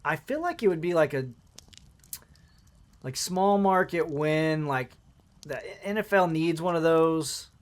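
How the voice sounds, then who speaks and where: Higher-pitched man hosting casual podcast banter. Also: expressive, a little slow, long pauses. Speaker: podcast host. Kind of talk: casual sports chat.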